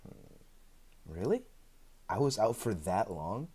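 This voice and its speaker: Very deep-voiced, chatty immortal played by a man. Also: sing-song, takes it slowly, warm tone, husky, heavily acted. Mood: musing, amused. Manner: conversational, hesitant, with frequent chuckles and dry humor. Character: chatty, sheepish, evasive immortal man, freshly back from death. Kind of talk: ASMR roleplay audio drama, one side of a conversation.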